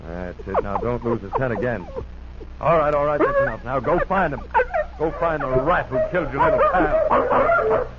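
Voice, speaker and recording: A man's voice: fairly high pitch, wildly swooping pitch, normal speed. Commanding radio-drama posse lawman, swooping delivery. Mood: urgent commanding. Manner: urgent, authoritative, barking orders. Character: commanding, level-headed lawman leading a hound posse. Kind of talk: 1940s radio acting. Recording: noisy, muffled 1940s radio recording.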